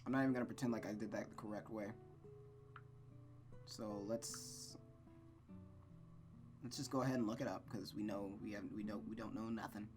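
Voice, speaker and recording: An informal, excitable host reading a visual novel aloud and chatting casually to viewers, at a medium pitch. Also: fairly quick, long pauses. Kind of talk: casual let's-play narration and chat. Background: music with plucked guitar.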